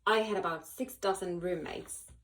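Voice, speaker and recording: Expressive woman reading a children's story. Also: lowish voice. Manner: animated, dramatic pauses and emphasis. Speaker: volunteer children's book reader.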